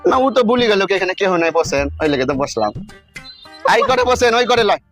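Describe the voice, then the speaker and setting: A man's voice: sits high, big pitch swings, quick pace, fairly bright tone, faint music behind. A man playing an irritable, harried elderly villager in a comic skit, brusque and complaining, with a rural Birbhum Bengali accent. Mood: grumbling.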